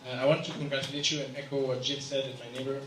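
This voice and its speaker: Kenyan-born guy asking the panel a question, measured, echoey room, faint noise. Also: medium pitch, little pitch movement. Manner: measured, explanatory, with fillers. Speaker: audience member asking a question. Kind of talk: round table question from the floor. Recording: echoey room, picked up from a distance.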